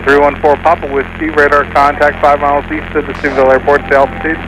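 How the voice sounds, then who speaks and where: A male air traffic controller giving brisk, formal instructions over the radio. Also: fairly high pitch, level tone. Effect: aircraft radio.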